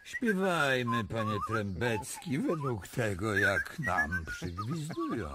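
A man's voice: medium pitch, wildly swooping pitch, slow pace, over loud music. An actor playing a grandiose yet conciliatory Polish king in a radio drama, solemn, courtly and declamatory.

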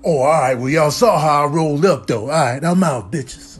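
A man's voice: on the high side, normal speed, breathy edge. A man doing comic reaction commentary over video clips, slangy, profane and mock-incredulous. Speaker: comedian doing voiceovers.

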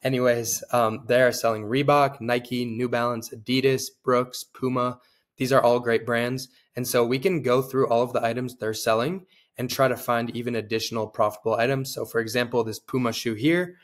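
A young man, slightly hoarse from a cold, talks at a middle pitch into a desk webcam mic in a small room. His delivery is casual and upbeat, with easy fillers as he walks through a screen-share tutorial. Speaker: online seller and tutorial host.

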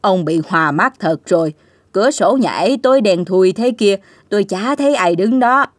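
A woman's voice: medium pitch, lively intonation, normal speed, no room sound, studio quality, close to an everyday voice. An actress playing a matter-of-fact, grumbling older woman in a cartoon. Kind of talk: animated cartoon voice acting.

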